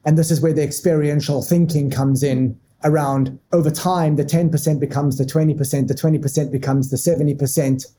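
A man from Africa, talking through work habits as a podcast guest in a measured, methodical way. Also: on the high side, steady tone, dark tone, slight background noise. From South Africa. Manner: measured and methodical, lists points step by step. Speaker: marketing leader, podcast guest. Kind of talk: structured business talk.

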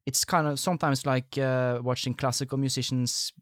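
A man hosting an interview, asking questions haltingly with many fillers. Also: medium pitch, fairly even intonation, a bit bright, dry recording, clean studio sound. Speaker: podcast host. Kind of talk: interview conversation.

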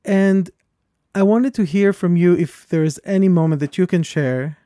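A man with a foreign accent co-hosting a podcast, talking in an earnest and reflective way that turns animated when he tells a story. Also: high voice, moderate pace, no echo, clean studio sound. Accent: foreign accent, origin unstated. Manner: earnest, thoughtful, animated when telling stories. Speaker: podcast co-host. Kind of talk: reflective conversation.

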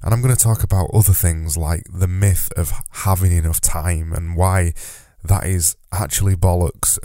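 Deep, dark-voiced man podcasting. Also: dry recording, studio quality. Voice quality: nasal. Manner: earnest, repeats words for emphasis. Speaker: podcast host. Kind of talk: solo podcast monologue. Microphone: close podcast mic.

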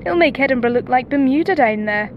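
A woman playing a brisk, wryly friendly mission controller in a sci-fi audio drama, heard through a radio filter. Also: high voice, lively intonation, background noise. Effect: radio comms filter. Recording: muffled radio link over music.

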